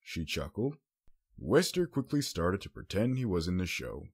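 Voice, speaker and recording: Husky low-voiced male story narrator. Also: very animated, normal speed. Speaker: audiobook narrator.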